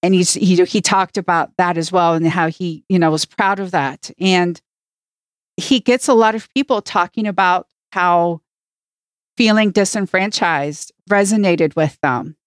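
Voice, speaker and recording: A woman co-hosts a podcast in casual discussion. Her voice is smooth and slightly low, recorded very dry with no room around it. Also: unhurried, no room sound. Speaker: podcast co-host.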